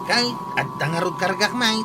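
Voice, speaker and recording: A man's voice: high voice, animated, moderate pace, gravelly, very noisy. A man playing a village tricycle driver in a radio drama. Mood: bantering.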